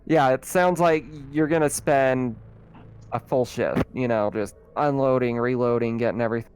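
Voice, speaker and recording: Casual male game master, mid-pitched. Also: moderate pace, darkish tone, clean tone, rough recording. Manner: informal, conversational. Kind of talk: casual improvised tabletop game narration.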